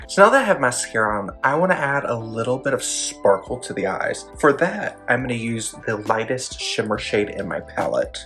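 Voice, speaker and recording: A man narrating a makeup tutorial, gently explaining each step in detail. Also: creaky. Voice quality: describes own voice as deep.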